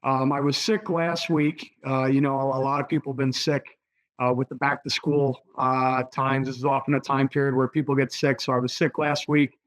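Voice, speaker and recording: A hoarse man, a political candidate giving earnest interview answers, with many fillers and repeated words. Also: mid-range voice, flat delivery, moderate pace, fairly bright tone, dry recording, poor audio. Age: 30s.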